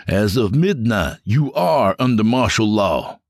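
A man's voice: lowish voice, big pitch swings, measured pace, dry recording, studio quality, voice pushed up, exaggerated voice. A man voicing a smug, mocking male officer in an audiobook. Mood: taunting. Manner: mocking, cocky.

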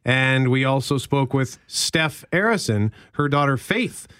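A man's voice: medium pitch, animated, unhurried, fairly bright tone, clear, resonant tone, no room sound. A man co-hosting a live radio show, talking in a casual, conversational way. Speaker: radio co-host.

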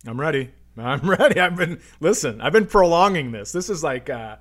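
A man's voice: a little high, lively intonation, normal speed, fairly bright tone. A man co-hosting a comedy podcast, telling stories in an amused, emphatic, profane way. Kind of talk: casual comedic podcast banter.

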